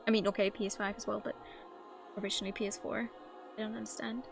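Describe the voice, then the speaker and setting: A woman's voice: mid-range voice, flat delivery, quick pace, vocal fry. A woman is live streaming a game and talking with her audience. Her delivery is informal and conversational, like casual chat. Music plays in the background behind her voice.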